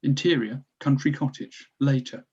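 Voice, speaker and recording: A man's voice: medium pitch, normal speed, dark tone, husky. Male screenplay narrator on slightly dull audio. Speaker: stage-direction narrator.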